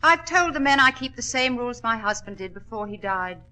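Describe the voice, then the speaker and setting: A woman's voice: a little high, lively intonation, a little fast, pushed and bright. A woman playing a gracious, proud widowed mine owner, firm and dignified, in a 1940s radio drama. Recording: old-time radio drama recording.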